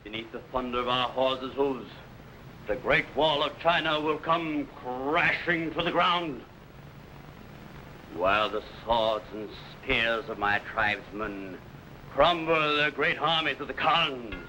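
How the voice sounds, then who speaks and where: An actor playing a boastful, ruthless barbarian warlord, proclaiming conquests in grandiose speeches. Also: male voice, mid-range voice, lively intonation, slow, pushed and bright, slight background noise, full character voice, rough recording. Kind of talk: 1950s radio drama acting.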